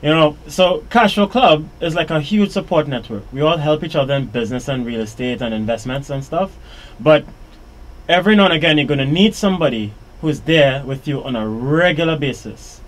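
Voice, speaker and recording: A Trinidadian man hosting a live radio interview from a small studio, talking upbeat in quick bursts into a boom mic. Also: expressive, poor audio. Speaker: radio show host. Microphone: studio boom mic with headphones.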